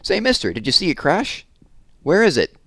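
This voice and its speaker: A man voicing excited, nosy townspeople in a storybook, urgent and loud. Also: a little high, normal speed, no room sound, studio quality, voice pushed up, heavily acted.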